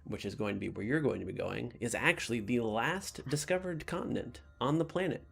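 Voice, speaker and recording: A man with a creaky, mid-pitched voice runs a tabletop game. He narrates and comments informally, in a self-deprecating, chatty way. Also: lively intonation, brisk. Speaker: game master.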